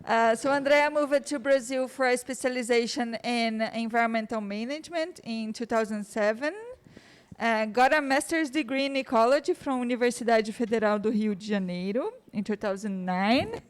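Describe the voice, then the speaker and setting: A woman's voice: a little high, moderate pace, clean tone. Chatty woman introducing a guest speaker. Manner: warm, chatty, laughs between remarks. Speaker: course host introducing a speaker. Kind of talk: casual spoken introduction. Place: lecture room.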